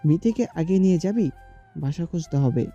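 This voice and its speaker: A man's voice: a little high, lively intonation, moderate pace, mellow, faint music behind. A man playing a practical, cautious young friend in an audio story, on a muffled recording. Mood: concerned, practical.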